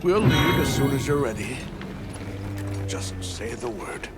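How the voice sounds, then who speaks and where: Solemn older keeper uncle, game cutscene, slow but voice rises and falls a lot, loud music under. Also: male voice, medium pitch, very animated. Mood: grave, measured. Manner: slow, measured, grave. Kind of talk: dramatic video game dialogue.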